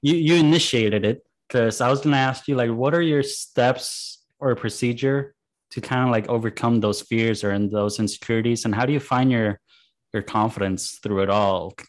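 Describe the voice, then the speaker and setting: A man's voice: medium pitch, moderate pace. Eager man asking casual questions. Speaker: interview host. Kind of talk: casual interview.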